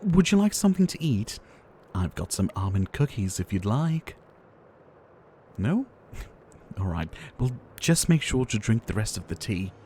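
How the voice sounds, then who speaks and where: A man playing a gentle, ailing pharmacist doctor in an ASMR roleplay, soft-spoken and theatrical, with courteous, wistful phrasing and sighs. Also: on the low side, big pitch swings, measured pace, long pauses, a little hiss or hum. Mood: chatty, caring.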